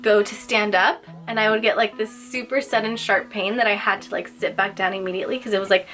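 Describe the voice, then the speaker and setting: A woman's voice: mid-range voice, fairly even intonation, quick pace, fairly bright tone. Young woman, nasal, congested, chatty, filler-heavy, faint music behind. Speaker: vlogger. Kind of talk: casual personal vlog.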